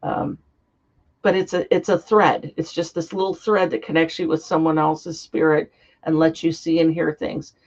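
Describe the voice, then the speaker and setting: A woman guest, slightly hoarse from a cold, explaining in an earnest, heartfelt way. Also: low voice, little pitch movement, moderate pace, a little mellow. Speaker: guest psychic. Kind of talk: interview conversation. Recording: dull lo-fi sound.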